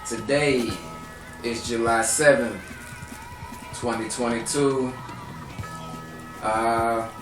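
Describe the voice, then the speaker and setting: A man hosting a podcast in a casual, laid-back, slangy way with a lot of laughing. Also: medium pitch. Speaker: podcast host. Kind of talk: casual chat. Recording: echoey room, distant mic, music behind.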